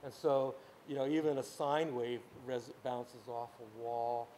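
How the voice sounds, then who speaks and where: A man's voice: medium pitch, fairly even intonation, a little slow, warm tone, slight background noise, poor audio. A man teaching a casual workshop class on sound, explaining at length with many restarts and fillers. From Ukrainian-speaking background. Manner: explanatory, rambling, many restarts and "you know"s. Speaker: music teacher. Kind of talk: informal workshop lecture. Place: workshop room at a camp.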